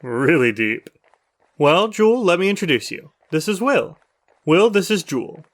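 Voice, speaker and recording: A man's voice: fairly high pitch, big pitch swings, normal speed. A man playing a chatty, upbeat survivor who runs a radio show in an audio drama, talking casually and teasingly.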